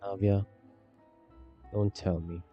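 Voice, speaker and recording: A man's voice: lowish voice, little pitch movement, slow, muffled recording. A man giving casual live gameplay commentary, musing aloud and repeating his phrases. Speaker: game streamer. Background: game music.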